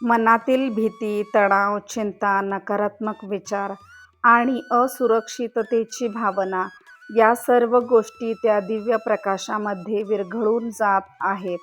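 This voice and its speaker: A woman leading a devotional guided meditation in a calm, soothing, measured way with long pauses. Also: medium pitch. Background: music.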